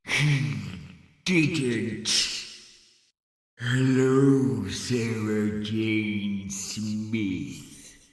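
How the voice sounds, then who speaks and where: An actor playing a vengeful, gloating trickster spirit with menacing, drawn-out theatrical delivery in an audio drama. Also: male voice, fairly low pitch, flat delivery. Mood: smug menace. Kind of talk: fan audio drama acting. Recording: very echoey, music underneath.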